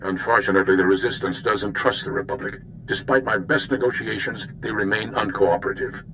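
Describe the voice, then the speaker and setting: An actor voicing an earnest, calm male diplomat in a video game, briefing in a measured way. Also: fairly low pitch, gravelly, background noise. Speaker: mission contact. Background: music.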